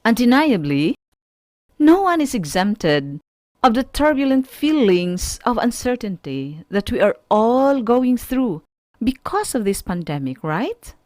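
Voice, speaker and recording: A woman with a Filipino accent teaching an online radio school lesson, speaking to students in a deliberate, instructive way and putting questions to them. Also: on the high side, very animated, a little mellow, no room sound, clean studio sound.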